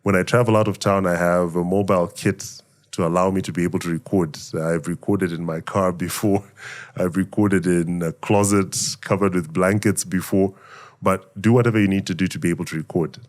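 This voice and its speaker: A middle-aged man from Zambia, a voice actor giving thoughtful interview answers in a measured, reflective way. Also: low-pitched, fairly even intonation. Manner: measured, reflective, speaks of faith and gratitude. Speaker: voice actor and emcee, guest.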